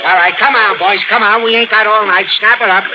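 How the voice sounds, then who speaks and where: An impatient man played by an actor herds prisoners in a high, urgent voice in an old radio thriller. The sound is dull and very noisy. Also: steady tone, a little fast, natural voice. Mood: impatient shouting. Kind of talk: 1950s radio crime drama acting.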